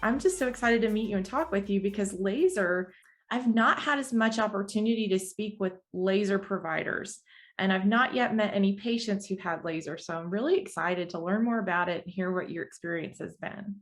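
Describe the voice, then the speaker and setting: A woman's voice: mid-range voice, a little fast. A woman hosting an interview, asking eager questions with open enthusiasm. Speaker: podcast host.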